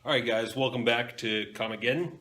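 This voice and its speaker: A man's voice: medium pitch, steady tone, a little fast, sharp tone. A noisy amateur recording carries a man presenting a web show. He has a mid-range voice and hosts in a casual, conversational style, as if talking to viewers he calls guys. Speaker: host of a comics web show.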